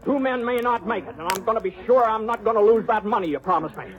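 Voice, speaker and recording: Laconic cowboy on muffled old radio, played by an actor. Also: male voice, sits high, close to an everyday voice. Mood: firm, calming. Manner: folksy, halting, understated drawling talk. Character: laconic, easygoing cowboy drifter narrating his trail drive, peacemaking. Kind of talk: 1950s radio western acting and first-person narration. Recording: dull old radio recording, music behind.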